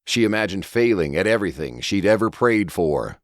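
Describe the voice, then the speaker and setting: A man's voice: sits low, animated, fairly quick, dry recording, clean studio sound. A man reading scripted horror fiction aloud as a dramatic narrator. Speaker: audiobook narrator.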